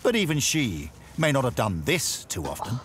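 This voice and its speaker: A man's voice: medium pitch, huge pitch swings, moderate pace, slight background noise. Wry English male documentary narrator. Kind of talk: scripted narration. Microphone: studio voice-over. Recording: voice-over over loud music. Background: music.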